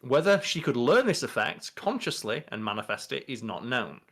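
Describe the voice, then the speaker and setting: A man's voice: medium pitch, sing-song, moderate pace, fairly bright tone. A young adult man chatting casually as a podcast co-host, reading out entries and commenting in an informal, sweary way. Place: small bedroom.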